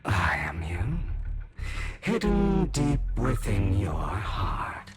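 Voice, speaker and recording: Game villain: sinister inner self, whispery tempter, deep voice with big swoops in pitch. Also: male voice, huge pitch swings, full character voice. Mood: sinister, taunting. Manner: whispery, insinuating, drawn-out. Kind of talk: dramatic video game voice acting.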